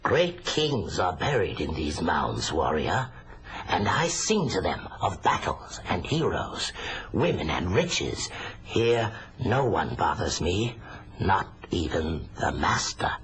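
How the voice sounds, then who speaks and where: A wizened old hermit wizard speaks slowly and cryptically in an audio drama. The voice is very deep and swoops dramatically, with faint noise behind it. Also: male voice, very animated, a bit bright, heavily acted, low-quality recording. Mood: solemn, cryptic. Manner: slow, incantatory storytelling.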